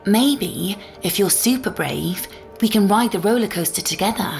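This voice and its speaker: A woman voicing a doting mother who speaks gently and coaxingly to a small child, in an audiobook story. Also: mid-range voice, normal speed, soft background music, natural voice. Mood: sweet, coaxing. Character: doting mother soothing her small daughter.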